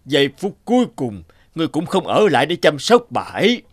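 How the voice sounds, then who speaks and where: A old cartoon sorcerer, theatrical and stern, with wide swings in pitch. His comes through in a close, dry recording. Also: wildly swooping pitch, dry recording. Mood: menacing, stern. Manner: slow, theatrical, pronouncing. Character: stern old sorcerer, sly, menacing and condemning. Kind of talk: cartoon fairy-tale dubbing.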